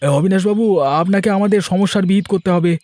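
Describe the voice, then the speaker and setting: Man acting aggrieved village fisherman, notably high-pitched. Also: little pitch movement, quick pace, darkish tone, no echo, natural voice. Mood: complaining. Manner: accusing, argumentative. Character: aggrieved village fishermen quarrelling, suspicious and defensive men. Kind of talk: cartoon fairy-tale dialogue.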